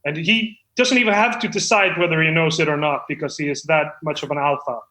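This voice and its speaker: A man playing an earnest, self-important amateur screenwriter in an improvised comedy table read, with a halting, stammering delivery. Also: a little high, fairly even intonation, bright, forceful tone. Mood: dramatic reading. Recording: roomy, echoey room sound.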